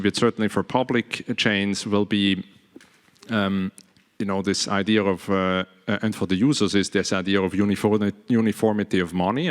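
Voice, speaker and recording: A man with a Swiss accent speaking on a conference panel, halting, with many fillers and restarts. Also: on the low side, fairly bright tone, smooth. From Switzerland. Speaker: panelist, blockchain entity officer. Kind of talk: panel discussion. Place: conference hall stage. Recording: long reverb of a conference hall.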